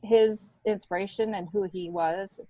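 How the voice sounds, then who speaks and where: A middle-aged American woman, a stand-up comedian being interviewed, telling stories casually with an animated, emphatic delivery. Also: mid-range voice, unhurried, no echo. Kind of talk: casual conversational storytelling.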